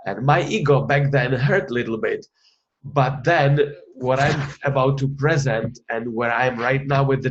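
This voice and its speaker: Guy on a webinar call, halting, lots of uhs, kinda dull lo-fi sound. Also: mid-range voice, a little hiss or hum. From Czech Republic. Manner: hesitant, many fillers and restarts. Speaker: researcher presenting a webinar. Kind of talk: online webinar presentation.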